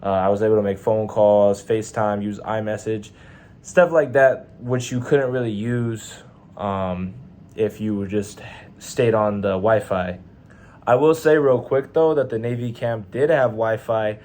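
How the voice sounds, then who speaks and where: A young man with a Texan twang, speaking in a somewhat low voice. He talks in a loose, rambling vlog style, full of slang and fillers. He is recording in a hotel room. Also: a little mellow. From Texas, USA. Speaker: vlogger, Navy sailor. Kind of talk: casual vlog monologue.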